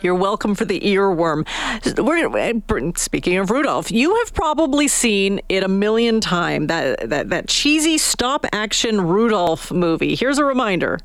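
A woman's voice: mid-range voice, big pitch swings, measured pace. A woman chatting casually as host of a live radio interview, close on a studio microphone. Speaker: radio morning show host.

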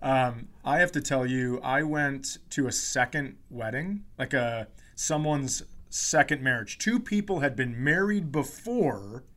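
A man's voice: mid-range voice, lively intonation, unhurried, few pauses, fairly bright tone. A man who hosts a podcast as a comedian, telling stories casually with swearing, mock indignation and quoted dialogue.

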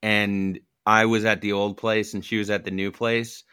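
A man with a lowish but bright, clear voice chats as a podcast co-host. He agrees readily and restarts his sentences often. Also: flat delivery, normal speed, dry recording, studio quality. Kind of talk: casual chat.

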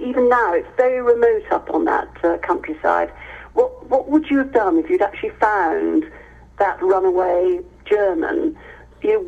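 English woman, dull lo-fi interview sound. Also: on the high side. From Hereford, England, near the Welsh border. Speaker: author, interview guest. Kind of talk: conversational interview answers.

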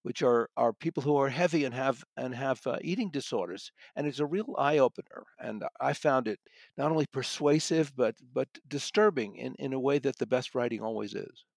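A man's voice: mid-range voice, moderate pace, vocal fry, no echo. Thoughtful man reviewing books, close dry sound. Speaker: audiobook reviewer and guest critic. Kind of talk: conversational book discussion.

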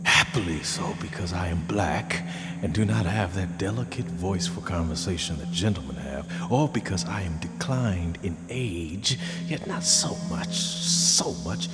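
A man's voice: low voice, measured pace, big-room sound, over loud music. An actor playing a proud, jealous male general in a radio play, brooding and breaking into anguished outbursts.

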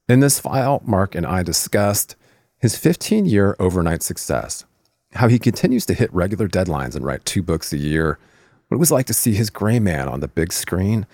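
Low-pitched male podcast host, lively intonation. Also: dry recording, clean studio sound. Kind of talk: scripted podcast intro and ad reads, interview chat.

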